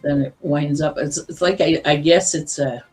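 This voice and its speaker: A woman with a husky smoker's voice, chatting slowly as a guest on an online call and laughing between halting phrases. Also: sits low, fairly even intonation, darkish tone, faint music behind. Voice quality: husky, says she has a smoker's voice. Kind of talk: casual chat.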